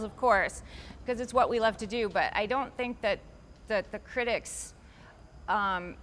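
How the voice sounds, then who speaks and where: A woman's voice: fairly high pitch, a bit bright, a little hiss or hum. A woman author answering interview questions in a chatty, rambling way, restarting her sentences often and lifting her voice for emphasis.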